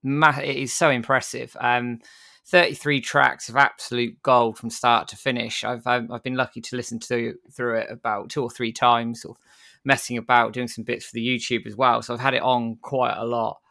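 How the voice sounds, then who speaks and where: Croaky, gravelly British man, bright tone. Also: mid-range voice, flat delivery, normal speed, no room sound, studio quality. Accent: British English. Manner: enthusiastic, stammers and repeats words. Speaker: podcast co-host. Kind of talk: casual podcast chat.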